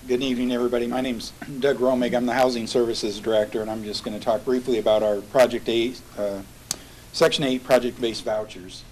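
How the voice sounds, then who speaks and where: A man's voice: mid-range voice, level tone, brisk, darkish tone, far from the mic, a little hiss or hum, poor audio. A man who directs a housing agency, giving a methodical, businesslike briefing at a public meeting.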